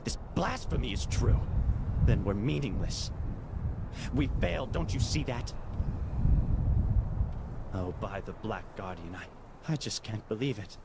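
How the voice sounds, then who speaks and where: A zealous young order novice in a fantasy game, haughty and bitter. His voice sits a little low and carries indignation. Heavy ambient noise runs under it. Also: expressive, unhurried, creaky, pauses often. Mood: distraught.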